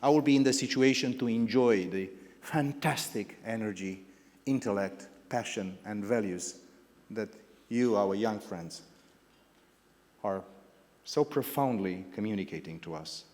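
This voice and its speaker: A middle-aged man with a Romanian accent delivers gala welcome remarks in a rather low voice, pausing often and circling back to rephrase. His words ring out across a big banquet hall through a stage microphone, with a long reverb trailing each phrase. He talks in loose, unscripted lists. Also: a little mellow. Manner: halting and discursive, restarting phrases and listing words. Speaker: founding president giving welcome remarks. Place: large indoor gala hall.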